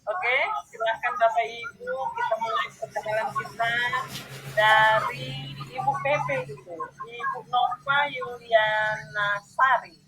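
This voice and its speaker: A woman's voice: a little high, sing-song, slow pace, over music, poor audio. A woman in her fifties from North Sumatra leading an online class in a friendly way, often addressing her listeners directly over a video call. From North Sumatra, Indonesia. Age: 50s. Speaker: online training facilitator. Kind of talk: friendly discussion facilitation.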